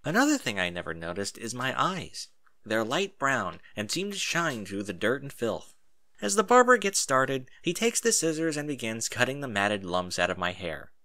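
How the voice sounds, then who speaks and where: A man narrating a first-person story in an expressive reading style. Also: medium pitch, normal speed.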